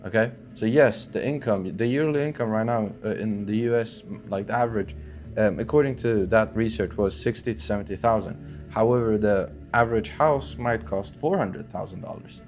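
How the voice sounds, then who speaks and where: Earnest young man over soft guitar. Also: lowish voice, normal speed. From Syria, raised in Sweden. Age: 20s. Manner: earnest and loose, many fillers and restarts. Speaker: online entrepreneur. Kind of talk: talking-head motivational vlog.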